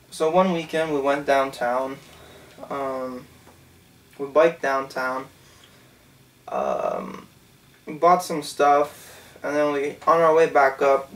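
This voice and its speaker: A man's voice: medium pitch, fairly bright tone, recorded from a distance, poor audio. A young man in his twenties, from Canada, telling a story for his channel in a loose, rambling way, full of "um" and "like". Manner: rambling, lots of "um" and "like". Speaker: channel host. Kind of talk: casual storytelling vlog. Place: small bedroom, indoors.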